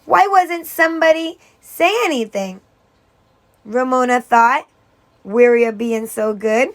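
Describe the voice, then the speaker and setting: A woman teacher reading a children's story aloud in a deliberate, expressive storytelling manner. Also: a little high.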